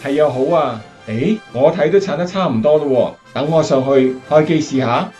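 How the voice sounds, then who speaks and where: A man acting a steady, earnest American wartime bomber captain in a radio drama. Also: fairly high pitch, normal speed, lo-fi sound, soft background music, lightly acted. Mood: modest, eager.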